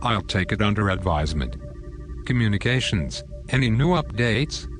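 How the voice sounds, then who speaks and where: Sci-fi audio drama captain played by a man: resolute, clipped orders, lowish register, prominent music underneath. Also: lively intonation, normal speed, lightly acted. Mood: commanding. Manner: decisive, terse, giving orders. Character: commanding, resolute male starship captain. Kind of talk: science fiction audio drama acting.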